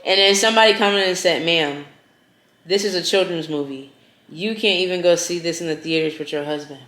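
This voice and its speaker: A woman's voice: on the low side, fairly quick, a bit bright. A woman, the host's cousin, in casual conversation, arguing her point firmly. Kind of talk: casual chat. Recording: echoey room.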